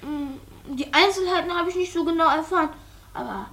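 A shy young boy in a radio play with a high, childlike voice. He sounds subdued and evasive, hesitating and repeating himself. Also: moderate pace, a bit bright. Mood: evasive, uneasy. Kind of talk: radio drama acting.

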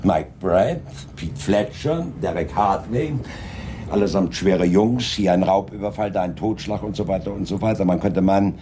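Actor as a deep-voiced, composed police inspector. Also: male voice, dark tone, slight background noise, natural voice. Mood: serious, insistent. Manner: measured, politely insistent, deliberate. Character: dry, composed, persistent male Scotland Yard inspector. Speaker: actor in a radio crime drama. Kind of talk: 1980s German radio play acting.

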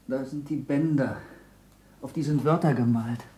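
A man's voice: medium pitch, normal speed, mellow, natural voice. A male actor playing an earnest, curious boy pupil in a 1960s German radio drama, speaking in a plain, questioning way. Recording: faintly noisy old radio recording.

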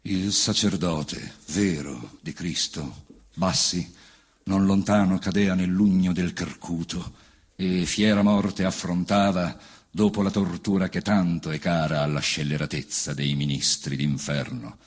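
A man reciting epic autobiographical verse in a solemn, declamatory manner, with deliberate pauses between phrases. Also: low voice, fairly even intonation, slightly rough. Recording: voice over band music accompaniment. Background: wind band music.

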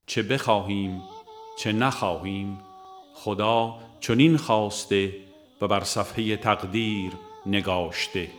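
A man reading a religious book aloud as scripted narration, in a measured, formal and solemn manner. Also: fairly low pitch, fairly even intonation, a bit bright, soft background music. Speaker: audiobook reader.

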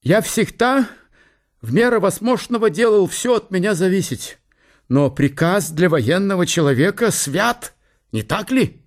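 An actor playing a deferential German general who speaks with a German accent in halting, stilted, very formal phrases. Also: male voice, high-pitched, expressive, pitched above the performer's own voice. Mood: nervous politeness.

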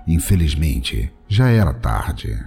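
A Brazilian man serves as narrator of a scripted comic audio story. His pitch is very low and his delivery is solemn and dramatic. Music plays clearly behind him. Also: sing-song, takes it slowly, mellow.

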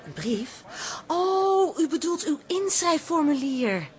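A woman's voice: high-pitched, big pitch swings, slow pace, heavily acted. A woman playing a friendly, patient course receptionist in a radio drama, courteous and welcoming. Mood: explaining.

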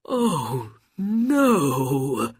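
A narrator voicing a sly old man who poses as a grieving uncle in a storybook, theatrical and drawn-out. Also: mid-range voice, expressive, sharp tone, dry recording, heavily acted. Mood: tearful, grieving.

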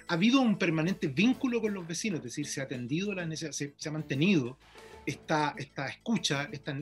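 A man works as a radio interviewer, his voice a little high for a man. He comments formally and deliberately in short phrases, with music in the background. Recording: music playing behind the voice.

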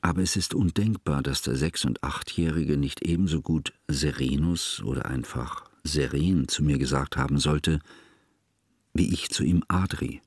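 A scholarly, devoted friend as narrator, with a very deep and grainy voice. He speaks solemn, formal in a literary radio play. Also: husky, no room sound, studio quality, full character voice. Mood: pensive. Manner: formal, slow-weighing, solemn. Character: grave, scholarly male narrator, devoted friend looking back.

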